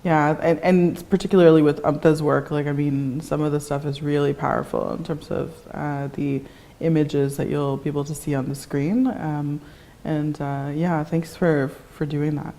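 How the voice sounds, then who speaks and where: Low-voiced rambling woman host. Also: level tone, moderate pace, few pauses, warm tone. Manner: rambling, repeats phrases, frequent fillers. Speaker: talk show host. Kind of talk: casual interview hosting.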